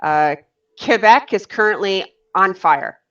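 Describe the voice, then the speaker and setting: A woman with a North American accent, explaining things to a learner in casual conversation, in measured phrases. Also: mid-range voice, fairly bright tone. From Canada and the northeastern US. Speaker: conversation partner. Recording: dull lo-fi online call audio.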